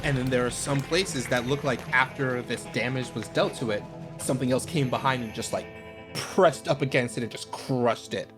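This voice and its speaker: Man, game narrator, improvised scene description, halting informal phrasing, music behind. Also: mid-range voice.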